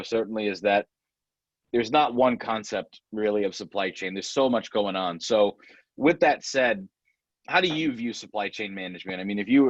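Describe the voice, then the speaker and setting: Professor hosting over a dull, dry line. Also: male voice, fairly low pitch, no room sound. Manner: friendly, rambling, lots of fillers. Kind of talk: webinar host interview. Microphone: video call.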